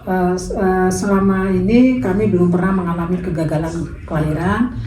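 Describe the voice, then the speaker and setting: A woman's voice: fairly low pitch, steady tone, moderate pace, mellow, reverberant, a little hiss or hum. A woman in her fifties answering interview questions hesitantly, with many fillers. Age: 50s. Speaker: interviewed mother.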